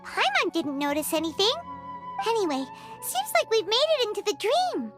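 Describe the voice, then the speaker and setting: A woman voicing a tiny, excitable, childlike fairy companion in a game, with a squeaky, chirpy delivery. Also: moderate pace, full character voice. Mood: puzzled, curious. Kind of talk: scripted game dialogue. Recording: loud music behind the voice.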